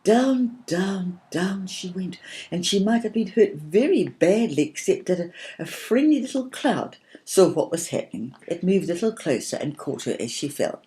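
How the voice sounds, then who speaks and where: A woman narrating a children's story from a script in a gentle, expressive, measured way. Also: fairly low pitch.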